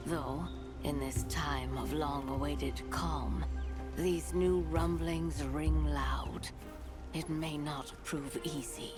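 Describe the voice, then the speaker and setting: An actress playing a dignified, watchful village leader in a video game, with a stately, formal and calm delivery. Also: female voice, low voice. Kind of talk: video game cutscene acting. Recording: loud music behind the voice.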